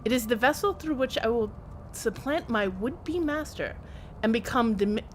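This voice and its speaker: Woman streamer w a fairly high voice, reading game lore out loud n chatting, bit of noise behind her. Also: expressive, normal speed. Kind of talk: reading game text aloud and casual commentary.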